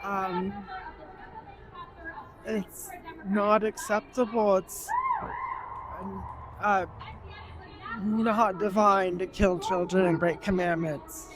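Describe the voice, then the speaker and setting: A woman at an outdoor rally, answering hesitantly and softly with many pauses. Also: mid-range voice, little pitch movement, a crowd in the background, poor audio. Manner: hesitant, soft-spoken, many ums. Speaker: rally attendee. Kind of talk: street interview.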